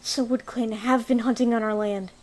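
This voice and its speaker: A woman's voice: a little high, steady tone, normal speed, darkish tone, natural voice. A woman playing a proud, defiant young apprentice cat in an amateur audio drama. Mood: sullen.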